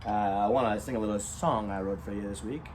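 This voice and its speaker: A man reading a short story as its storyteller, in a theatrical, rhythmic manner with drawn-out emphasis and rhyming phrases. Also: fairly low pitch, fairly even intonation, background noise. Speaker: storyteller, author reading own work.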